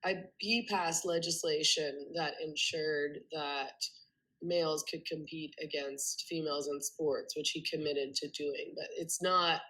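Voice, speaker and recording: Low-voiced congested woman explaining at length. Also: steady tone, unhurried, sharp tone, rough recording. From Canada. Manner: earnest, explains at length with many ums and restarts. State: congested with a cold. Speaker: podcast guest, journalist. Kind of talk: remote interview conversation.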